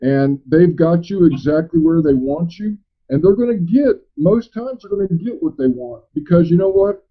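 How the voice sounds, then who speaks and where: Male, fairly high voice, emphatic and repetitive phone talk, halting delivery, muffled recorded line. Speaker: private investigator. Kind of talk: phone conversation. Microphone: telephone. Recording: dull recorded phone call.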